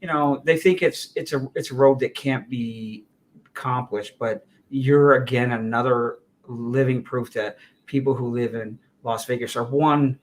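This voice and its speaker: This is a male host talking with a guest in a relaxed interview. He is warmly affirming and often trips over his words, repeating a phrase before he carries on. His voice sits at an ordinary middle pitch. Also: a little slow. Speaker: talk show host. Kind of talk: casual interview.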